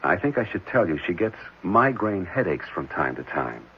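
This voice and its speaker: Adoptive dad: middle-aged, gentle, expressive intonation, patient reassurance; muffled vintage radio sound. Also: male voice, sits low, normal speed, breathy edge, full character voice. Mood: gentle, uneasy. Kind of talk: 1970s radio drama acting. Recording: muffled, noisy 1970s radio recording.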